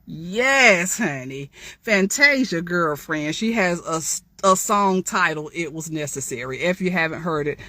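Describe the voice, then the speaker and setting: A woman's voice: lowish voice, expressive, measured pace, bright, forceful tone, no room sound. A woman author giving an inspirational storytime talk, reading from her own memoir with chatty, testifying asides that address listeners directly.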